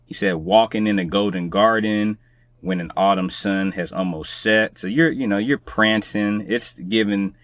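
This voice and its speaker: Low-voiced man, a podcast guest. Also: unhurried, no echo. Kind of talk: casual conversational discussion.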